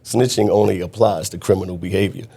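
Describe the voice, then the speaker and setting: Deep, flat-voiced man speaking earnestly. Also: fast talker, vocal fry, breathy edge. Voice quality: loud voice. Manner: earnest, reflective, restarts often. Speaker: guest mentor. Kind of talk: conversational interview.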